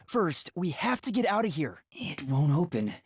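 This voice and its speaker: A woman's voice: on the low side, big pitch swings, normal speed, breathy edge, full character voice. A woman voicing a timid, earnest, anxious young male trainee detective in a video game, hesitant and self-doubting in manner. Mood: earnest, pondering.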